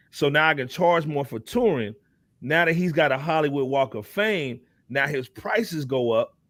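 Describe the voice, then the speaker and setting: Dry-sounding, higher-pitched man talking hip hop. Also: no room sound. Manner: animated slangy talk with repeated check-in phrases. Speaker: talk show panelist. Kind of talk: casual hip hop commentary.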